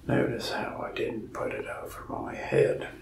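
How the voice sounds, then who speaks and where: A young man speaking softly, half-whispering as a folksy, rambling mask shop salesman. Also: a little slow, reverberant, distant mic. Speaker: ASMR creator playing a mask shop salesman. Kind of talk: soft-spoken ASMR roleplay. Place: indoors, small room in front of a wall of masks.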